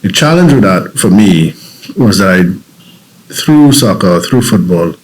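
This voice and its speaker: Trinidadian man, very deep voice, earnest interview guest, thoughtful storytelling. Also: animated, slow pace, husky, low-quality recording. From Trinidad and Tobago. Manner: reflective, earnest, with frequent restarts.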